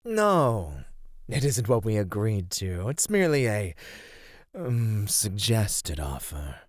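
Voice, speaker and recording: A man playing a teasing, condescending serpentine monster predator in a theatrical ASMR roleplay, speaking in a deliberate, menacing way. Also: on the low side, wildly swooping pitch, no echo, studio quality, heavily acted. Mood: teasing, probing.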